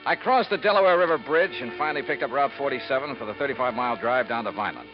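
Sardonic American investigator, played by a man: mid-pitched, lively inflection, clipped and dry, muffled vintage radio sound with music. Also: fast, lightly acted. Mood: brisk, wry. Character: wry, tough, sardonic male insurance investigator. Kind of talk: 1950s radio acting with scripted first-person narration. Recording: muffled 1950s radio recording.

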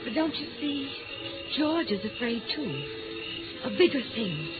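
A woman's voice: on the high side, sing-song, a little slow. An actress playing an earnest, fearless young woman, pleading insistently in theatrical 1950s radio drama style. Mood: urgent pleading. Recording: tinny old radio recording, loud music.

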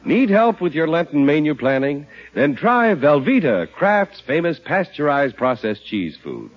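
A man's voice: a little high, sing-song, a little slow, slightly rough. A man announcing in the style of a 1950s radio advertisement. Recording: muffled vintage 1950s radio recording.

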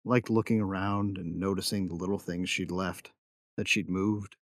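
Low-voiced brooding male bounty hunter, man acting. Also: steady tone, moderate pace, dry recording, clean studio sound, close to an everyday voice. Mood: brooding, intimate. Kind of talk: first-person audio drama narration.

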